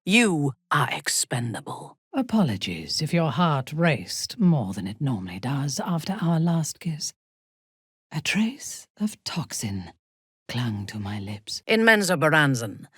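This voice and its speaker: An actress playing a haughty, ruthless female dark elf warlord in a video game, imperious and formal, with a breathy, husky voice. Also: sits low, very animated, no echo, studio quality, exaggerated voice. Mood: cold, matter-of-fact, then intimate, sly. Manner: imperious, formal, deliberate, coldly menacing. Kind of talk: video game character dialogue.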